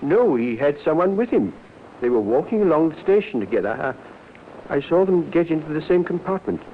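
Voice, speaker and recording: Nervous male witness, stammering, higher-pitched, swooping intonation, old radio crime play. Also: sing-song. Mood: nervous, hesitant. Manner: hesitant, stammering, halting. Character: nervous, short male witness, hesitant and eager to help. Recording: muffled, noisy old radio drama recording.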